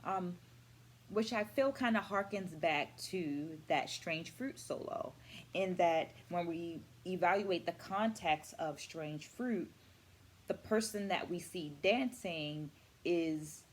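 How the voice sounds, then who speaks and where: Lower-voiced woman vlogger, thoughtful and deliberate. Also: a little hiss or hum. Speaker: vlogger and dance educator. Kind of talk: reflective book-review vlog.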